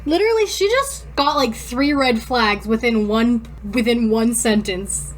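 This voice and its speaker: Female podcast co-host, high and giggly, casual, stumbling through dramatic readings with commentary, faint noise behind. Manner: informal, halting when reading aloud, breaking off to comment.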